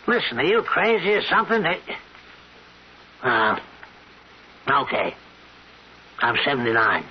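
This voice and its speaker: An actor playing a crotchety, skeptical rich man of seventy-nine in an old-time radio drama, gruff and blunt. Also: medium pitch, big pitch swings, measured pace. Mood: scornful disbelief. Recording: dull, lo-fi radio drama recording.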